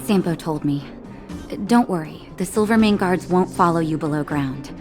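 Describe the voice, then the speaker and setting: A calm woman doctor from a video game, played by an actress, with a slightly low voice. She speaks gently and with composure, reassuring and caring. Soft music plays behind her scripted lines. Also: moderate pace, lightly acted.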